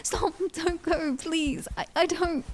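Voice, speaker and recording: Scared abandoned kid in audio rp, really high voice, crying, stammering, begging slowly. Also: female voice, steady tone. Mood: desperate, tearful. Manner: stammering, halting pleas. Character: frightened, abandoned young one, desperately pleading.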